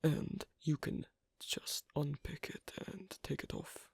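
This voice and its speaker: A performer voicing an asocial, reticent werewolf in an ASMR roleplay, speaking haltingly in a breathy, husky half-whisper. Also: steady tone, a little mellow, dry recording, exaggerated voice. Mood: quietly explaining. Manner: halting, hesitant, low-key. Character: asocial, reticent young werewolf on a sewing date.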